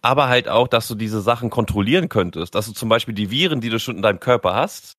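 A man's voice: fairly low pitch, animated, quick pace, fairly bright tone, dry recording, clean studio sound. A man co-hosting a podcast, talking eagerly and slangily in casual chat and prompting his partner. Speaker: podcast co-host.

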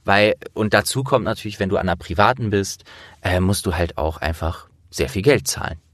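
A man's voice: sits low, a little fast, no room sound, clean studio sound. A young man from the Rhineland in Germany, an actor hosting a casual interview, explaining things at length in a searching way.

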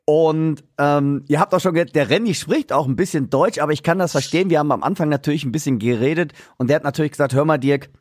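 A man's voice: a little high, quick pace, few pauses, no echo, clean studio sound. A man hosting a casual podcast interview, chatty and loose, with many fillers and restarts. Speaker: podcast host and drummer.

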